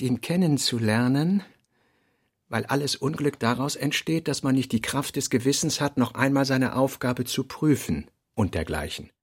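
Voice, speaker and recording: A man reading literary notes and prose for a radio play, in deliberate, clipped phrases with pauses between them. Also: medium pitch, slightly rough.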